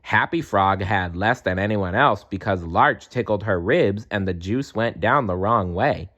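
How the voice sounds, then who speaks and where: A man reading a children's story aloud in an expressive narrating style. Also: lowish voice, unhurried, lo-fi sound. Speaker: storybook reader.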